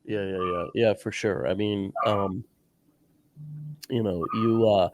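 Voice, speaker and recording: A man's voice: lowish voice, fairly even intonation, takes it slowly, no echo. A man hosting an interview podcast, stuffed up with a sore throat, giving earnest coaching advice in a casual chat. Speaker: podcast host.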